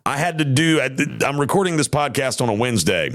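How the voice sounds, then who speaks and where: Podcast host, man, mid-pitched, worn voice, rambling and folksy. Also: animated, fast, a bit bright. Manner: rambling, folksy, with many restarts. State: tired, worn voice. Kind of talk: casual chat. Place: home studio.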